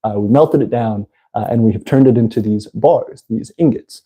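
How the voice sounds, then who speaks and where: A man with a congested voice, hosting a casual livestream and talking haltingly with many fillers. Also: medium pitch, mellow, slightly rough. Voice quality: congested, nasal. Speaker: livestream host. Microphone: phone.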